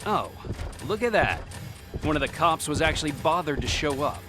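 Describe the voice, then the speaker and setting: An actor playing a territorial SWAT commander in a video game, sarcastic, curt and superior. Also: male voice, on the high side, animated, normal speed, over music, natural voice.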